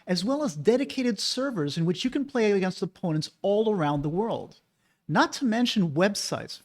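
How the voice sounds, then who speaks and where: A man hosting his own channel, talking casually and persuasively to the viewer, asking rhetorical questions. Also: sits high. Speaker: board game channel host. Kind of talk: casual opinion monologue.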